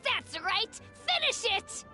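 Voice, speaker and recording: Earnest, high-pitched little boy. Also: sing-song, takes it slowly, music in the background, full character voice. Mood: excited, triumphant. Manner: childlike, earnest. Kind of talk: video game voice acting.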